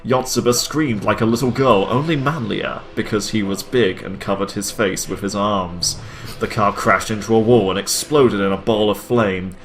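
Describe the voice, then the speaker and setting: A man's voice: on the low side, moderate pace, a bit bright. A man hosts a comic read-aloud with music behind him. He delivers the story dramatically and drops into amused asides, sounding on the edge of laughter. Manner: amused, breaks into laughter while reading. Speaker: host reading fan fiction aloud. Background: background music.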